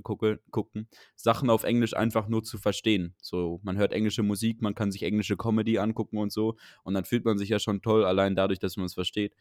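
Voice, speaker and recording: A man's voice: fairly low pitch, darkish tone, no echo, studio quality. A young man in casual podcast chat, talking in a tentative, rambling, colloquial way as a co-host. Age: young adult.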